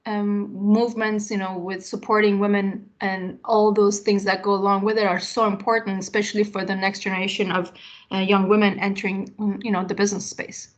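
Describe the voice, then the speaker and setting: A woman in her late forties, born in Iran, speaks as an interview guest at a middle pitch. She talks reflectively and casually, pausing often with "you know" and "um" while she works through personal experience. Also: little pitch movement. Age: 40s. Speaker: interview guest, tech executive.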